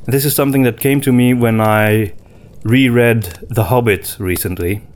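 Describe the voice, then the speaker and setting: A man co-hosting a podcast in casual conversation, reflective and often pausing to search for his words. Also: medium pitch, steady tone. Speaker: podcast co-host.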